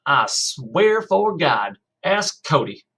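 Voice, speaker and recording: A man voicing a chatty young boy from the rural South, with a folksy drawl and an excited, nonstop way of talking. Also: fairly high pitch, takes it slowly, husky, voice pushed up, heavily acted. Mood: boastful.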